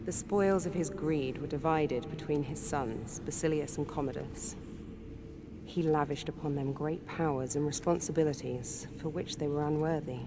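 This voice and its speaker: A woman playing a knowing female spirit guide in a video game, speaking in a solemn, deliberate, portentous way. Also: deep voice. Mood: solemn, urging. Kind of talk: dramatic video game cutscene monologue. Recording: clear background ambience noise.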